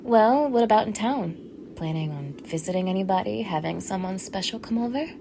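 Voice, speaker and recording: A woman acts out a young neighbour in an intimate ASMR roleplay, speaking at a middling pitch. She is casual and coaxing, teasing with a hushed edge, her friendliness covering an obsessive menace. Clear ambient noise sits behind the voice. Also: lively intonation, moderate pace.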